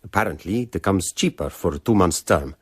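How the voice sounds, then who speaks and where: An actor playing a grumbling Victorian courier voicing his letter in a radio drama, measured and dry in manner. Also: low-pitched, lively intonation, slightly rough, dry recording. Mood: wry, measured.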